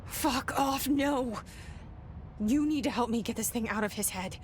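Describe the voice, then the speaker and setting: A woman's voice: fairly high pitch, measured pace, slight background noise, natural voice. An actress with an American accent playing a determined young woman trying to save her brother in a game cutscene, blunt, wry and restrained. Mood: urgent, upset. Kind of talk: video game cutscene acting, naturalistic.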